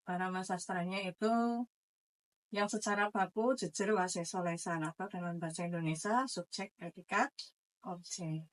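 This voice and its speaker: A woman teacher, explaining informally in an interview in a didactic way, halting and often correcting herself. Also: on the low side, steady tone, low-quality recording.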